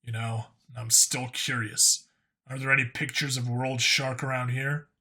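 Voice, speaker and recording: A plainspoken, curious human cop from a sci-fi audiobook, played by a man. He talks casually and bluntly, a bit hesitant at times, in a middle-pitched, bright voice. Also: little pitch movement, measured pace, heavily acted. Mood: determined, curious. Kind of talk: audiobook character acting.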